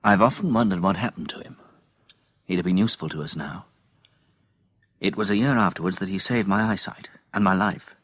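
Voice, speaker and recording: A grave English survivor tells his story as radio drama narration. The voice is low and husky but forceful, recorded close and dry. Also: fairly quick, long pauses, no room sound. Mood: reflective. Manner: sober, reflective. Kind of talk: scripted dramatic first-person narration.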